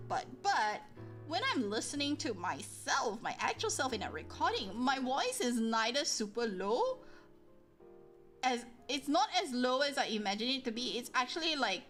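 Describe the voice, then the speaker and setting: A woman's voice: sits high, big pitch swings, moderate pace. A woman livestreaming and chatting casually with her viewers, rambling with lots of fillers and poking fun at herself. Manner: rambling, lots of likes and ums, self-deprecating. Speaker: livestreamer reading chat. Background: soft background music.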